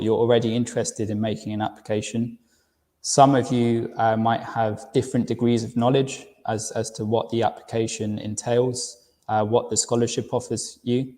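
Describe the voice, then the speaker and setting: A man's voice: medium pitch, little pitch movement, moderate pace, dark tone. London man presenting in a reverberant room. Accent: London English. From United Kingdom. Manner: friendly, repeats words as he restarts. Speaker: university recruitment director presenting a webinar. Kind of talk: webinar presentation.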